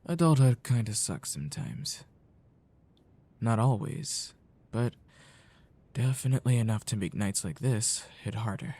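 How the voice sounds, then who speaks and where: A man playing a caring, wistful childhood friend in an ASMR roleplay, talking softly with a halting, reflective delivery. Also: medium pitch, expressive. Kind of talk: ASMR roleplay, soft intimate talk.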